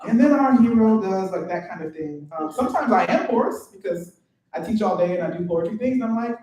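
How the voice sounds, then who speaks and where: A woman who is a poet and teacher, speaking on a live panel, excitable and quick to laugh as she tells stories. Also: mid-range voice. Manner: excitable, laughing, gesturing storyteller. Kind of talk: panel talk. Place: event venue, live panel. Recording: very echoey, distant from the mic.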